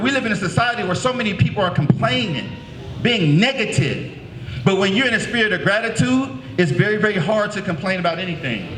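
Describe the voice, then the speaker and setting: A man's voice: a little high, slight background noise. A man in his late thirties from the San Francisco Bay Area, giving a preacherly motivational talk to middle schoolers and asking the crowd questions. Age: late 30s. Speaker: motivational speaker. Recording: echoey room.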